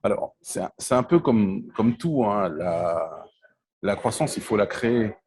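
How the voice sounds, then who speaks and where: A man's voice: lowish voice, animated, measured pace, darkish tone, breathy edge, dry recording. A man from Congo in his forties, answering interview questions in a hesitant way, with frequent fillers and restarts. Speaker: interview guest, telecom entrepreneur.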